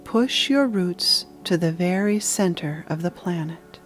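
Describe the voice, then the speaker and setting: Woman leading a guided meditation, really slow and soothing, lots of pauses, music playing behind her. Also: lowish voice, animated.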